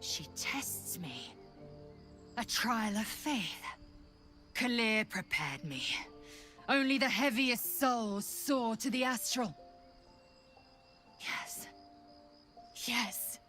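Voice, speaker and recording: A woman's voice: medium pitch, animated, very slow, pauses often, pushed and bright, faint music behind, full character voice. An actress playing a proud, fierce and disdainful warrior woman of an alien race in a fantasy video game, speaking in a haughty, formal, severe manner. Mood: tense resolve.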